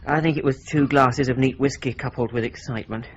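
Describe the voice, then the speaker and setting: A man's voice: medium pitch, steady tone, brisk, lo-fi sound, slight background noise, close to an everyday voice. An actor playing a wry young man who questions the case and teases his fiancée.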